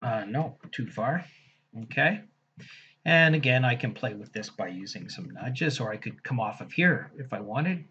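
A man teaching software in a casual screen-recorded tutorial, thinking aloud as he clicks through each step. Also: medium pitch, lively intonation, normal speed, breathy edge, dull audio. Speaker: software instructor.

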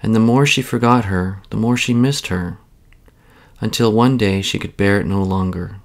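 A man narrating a children's bedtime story in a measured, expressive read-aloud style. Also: fairly low pitch, creaky.

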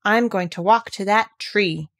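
A woman's voice: medium pitch, measured pace. A woman voicing a proud, imperious young boy giving orders in a storybook. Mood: proud, determined. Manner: commanding, sharp, declarative.

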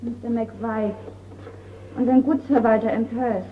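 A woman's voice: fairly high pitch, steady tone, a little slow, natural voice. An actress playing a polite, composed lady of a country estate in a radio crime drama. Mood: puzzled. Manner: courteous. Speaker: radio play actor. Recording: distant, dull, noisy radio play recording.

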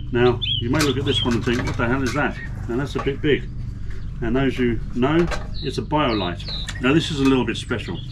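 A man's voice: mid-range voice, very noisy. A middle-aged man chatting casually to camera outdoors in a garden while showing camping stoves by hand. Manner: chatty, plain-spoken, demonstrating stoves by hand. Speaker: camping vlogger. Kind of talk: casual gear demonstration to camera. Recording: outdoor birdsong in background. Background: birdsong.

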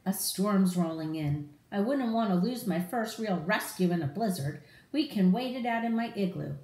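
A woman voicing an eager, brave young female husky pup from a storybook, earnest and exclaiming. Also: mid-range voice, animated, normal speed, mellow, roomy sound, natural voice, low-quality recording.

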